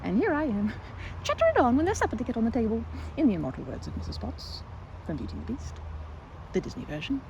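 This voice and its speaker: A woman playing a timid, excitable park regular in an ASMR roleplay, stammering and chattering apologetically in a one-sided conversation. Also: on the high side, fast talker, creaky, background noise, exaggerated voice. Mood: shy, chatty. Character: timid, rambling young woman, excitable park regular who loves ducks.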